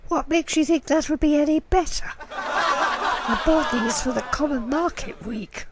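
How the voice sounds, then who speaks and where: A woman's voice: high-pitched, a little slow, heavy background noise. A woman playing a pompous, easily affronted middle-aged saleswoman in a radio sitcom, mock-refined with coarse slips. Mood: indignant, haughty. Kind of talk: radio play sitcom comedy acting.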